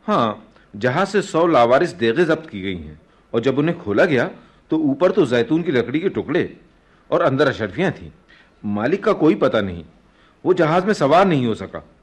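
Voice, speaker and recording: A man's voice: medium pitch, sing-song, moderate pace, breathy edge. A man playing a deferential customs officer at a royal court, reporting formally and obsequiously in a radio play. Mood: dutiful. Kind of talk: Hindi radio play acting.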